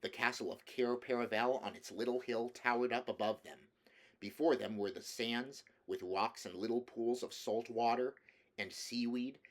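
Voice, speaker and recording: A man reading a children's novel aloud as scripted storybook narration. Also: mid-range voice. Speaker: audiobook reader.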